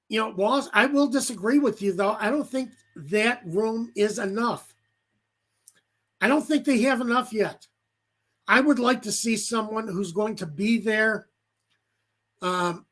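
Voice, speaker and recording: A man hosting a sports talk show on his own, giving rambling commentary full of fillers and self-corrections, his voice going raspy from talking for an hour. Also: high-pitched, fairly bright tone. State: voice getting raspy. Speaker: solo sports show host.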